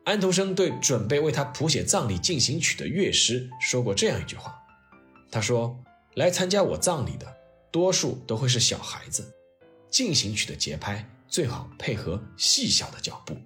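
Man narrating with very animated pitch over music. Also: moderate pace. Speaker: host of a history storytelling podcast. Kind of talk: scripted narration with piano-backed storytelling and personal reflection. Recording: music playing behind the voice. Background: soft piano and orchestral music.

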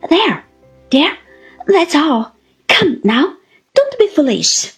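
A woman's voice: high-pitched, wildly swooping pitch, slow pace, faint music behind, pitched above the performer's own voice, full character voice. A woman voicing an earnest young husband in a story, patient but exasperated, remonstrating in formal, moralising phrases. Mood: earnest, pleading.

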